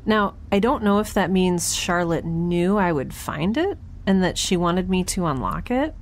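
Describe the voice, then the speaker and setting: A woman's voice: fairly low pitch, lively intonation, a little slow. A woman playing a quirky young scientist in space, recording a chatty, wry spoken log for an audio drama. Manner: chatty, wry, self-interrupting, informal.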